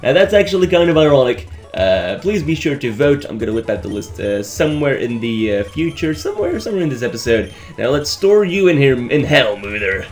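A young man with a Belgian accent, raspy from just waking up, giving rambling, joking commentary over a game he is playing and often stumbling over his words. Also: medium pitch, sing-song, a little fast, hardly any pauses, soft background music. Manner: rambling, stammering restarts, joking, laughs often. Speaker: let's play host. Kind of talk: casual gameplay commentary.

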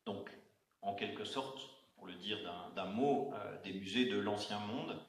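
A man moderating a round-table discussion in an auditorium, speaking haltingly with many fillers and restarts. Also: on the low side. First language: not Arabic. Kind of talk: hesitant spoken introduction at a panel. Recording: echoey auditorium sound.

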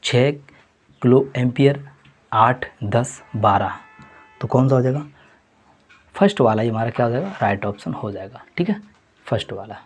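A man with a husky, slightly breathy voice works through a mock test as a teacher. His pitch sits in an ordinary middle range. He repeats the answers and keeps calling his viewers friends. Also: lively intonation, moderate pace, pauses often. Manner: repetitive, addresses viewers as friends. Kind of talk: exam question walkthrough.

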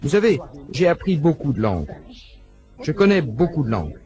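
Animated male voice-over narrator. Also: fairly high pitch, moderate pace, soft background music. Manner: animated storytelling, acting out quoted dialogue. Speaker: voice-over translator. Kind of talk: French voice-over of a lecture.

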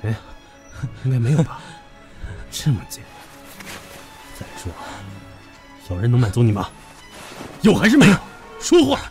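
A man's voice: medium pitch, wildly swooping pitch, a little slow, long pauses, warm tone, soft background music. An actor playing a possessive, taunting young man who talks crudely and commandingly in an audio drama. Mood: possessive, demanding. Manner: taunting, demanding. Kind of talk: Chinese audio drama acting.

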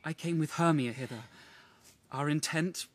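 An actor playing a dazed, apologetic young lover just woken, speaking hesitantly in a Shakespearean radio drama. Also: male voice, fairly high pitch, dry recording, natural voice. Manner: hesitant, halting.

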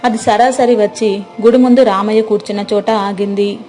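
A woman's voice: medium pitch, fairly even intonation, moderate pace, dark tone. A woman reading a scripted story as a storyteller. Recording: music behind the voice. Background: background music.

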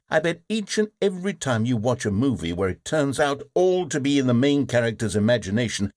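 A man's voice: medium pitch, dry recording. A man narrating a video game in a dry, sarcastic, condescending way.

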